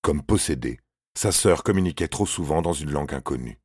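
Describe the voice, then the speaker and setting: A man's voice: very deep voice, lively intonation, fairly quick, darkish tone, breathy edge, dry recording, clean studio sound. A man narrating scripted paranormal stories in a grave, suspenseful, dramatic manner. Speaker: narrator of a paranormal true-story podcast.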